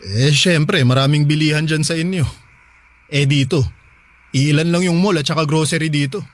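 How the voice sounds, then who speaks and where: A man's voice: a little high, normal speed, no echo, lightly acted. A Filipino man playing an anxious, protective husband, fretting and urging in conversational radio drama dialogue. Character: anxious, protective provincial husband on a phone call. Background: faint music.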